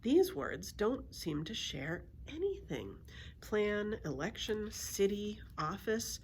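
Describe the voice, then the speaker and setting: A woman's voice: medium pitch, big pitch swings, slow, slight background noise. A woman reading a children's book aloud as a storyteller, expressively acting out the dialogue.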